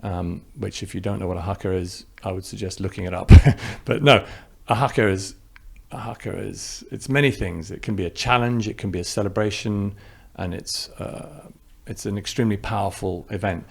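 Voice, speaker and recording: English-accented guy, director, low gravelly voice, thinks out loud. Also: fairly even intonation, normal speed. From New Zealand. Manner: reflective, thinking aloud with restarts. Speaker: film director. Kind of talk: interview answers.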